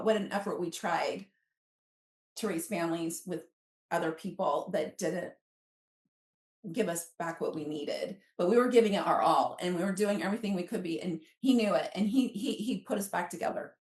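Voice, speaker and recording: A woman's voice: lowish voice, darkish tone. An American woman in her fifties telling personal stories as an interview guest in an effusive, conversational way. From Alaska, United States. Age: 50s. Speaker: podcast guest. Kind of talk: casual interview storytelling. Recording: roomy, echoey sound.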